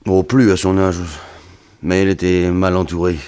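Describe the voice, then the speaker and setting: An actor playing a weary, plaintive son of a wealthy family in a 1960s radio detective drama. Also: male voice, deep voice, little pitch movement, normal speed, a little mellow, clean tone, lightly acted. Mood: sorrowful. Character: grieving son of a poisoned rich woman, weary, plaintive.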